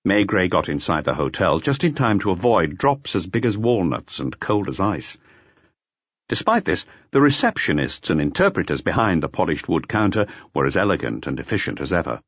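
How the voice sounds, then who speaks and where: A man narrating a mystery novel as an audiobook, with measured, expressive storytelling. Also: sits low, breathy edge, no echo, dull audio.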